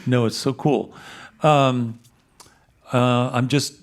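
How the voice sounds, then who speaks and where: A man's voice: mid-range voice, steady tone, takes it slowly. A man hosting a radio interview on stage, asking friendly, rambling questions. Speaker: radio show host. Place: stage in a concert hall.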